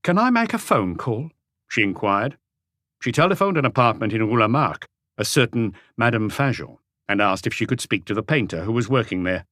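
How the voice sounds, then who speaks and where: Low-voiced man narrating, lively intonation. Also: fairly quick, dry recording, studio quality. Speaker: audiobook narrator. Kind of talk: scripted narration of a detective novel.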